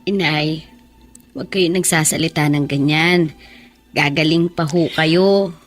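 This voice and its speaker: A woman's voice: low voice, steady tone, unhurried, faint music behind. A woman playing a devoted, tender young daughter with emotional, pleading delivery in a Filipino radio drama. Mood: worried, pleading.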